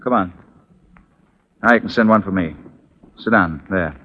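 An actor playing a bold, confident Union officer in the Old West, brisk and commanding, in 1940s radio style. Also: male voice, sits low, narrow, tinny audio. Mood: insistent, commanding. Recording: noisy 1940s radio broadcast.

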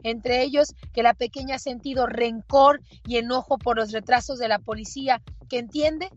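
A woman with a Mexican accent hosting a talk show in a husky voice, speaking in an earnest, reflective, conversational way. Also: fairly high pitch, fairly even intonation, normal speed, lo-fi sound. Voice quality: husky, hoarse-edged voice. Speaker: show host. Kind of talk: conversational radio-style talk.

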